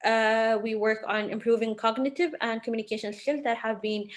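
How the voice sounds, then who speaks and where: A woman's voice: a little high, fairly even intonation, moderate pace. A woman speech language pathologist giving an earnest webinar talk, with frequent fillers and restarts. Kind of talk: webinar presentation. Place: online webinar from home or office.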